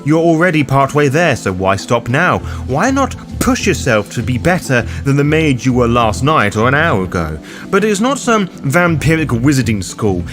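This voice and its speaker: A man's voice: mid-range voice, very animated, moderate pace. A man reading a scripted lore guide for roleplayers, addressing the listener in formal, ornate phrasing. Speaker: host and narrator of a roleplaying guide. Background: background music under the voice.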